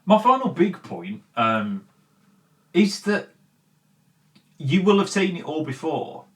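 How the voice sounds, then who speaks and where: A man's voice: a little high, sing-song, takes it slowly. Emphatic man giving opinionated video commentary. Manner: opinionated, emphatic, spells out points with repetition. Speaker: video channel host presenting an opinion piece. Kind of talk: conversational video essay commentary to viewers.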